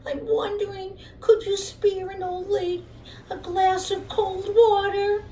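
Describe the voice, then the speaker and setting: A woman voicing a frail, plaintive little old lady who pleads slowly in a children's puppet show. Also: very high voice, level tone, warm tone, voice pushed up. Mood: plaintive, pleading. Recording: roomy, distant mic, faint noise.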